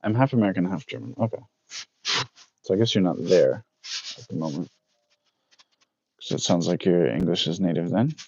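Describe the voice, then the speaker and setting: A man's voice: low voice, normal speed, long pauses, a little mellow, husky, dry recording. A man in his thirties chatting casually with viewers on a livestream, rambling and correcting himself as he goes. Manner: rambling, self-correcting, reads out chat. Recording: dull lo-fi dry sound.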